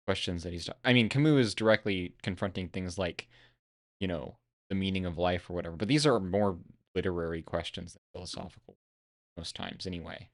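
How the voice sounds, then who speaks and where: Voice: deep voice, a little fast, dry recording, studio quality. A stream co-host in casual analytical chat, thinking aloud in a discursive way.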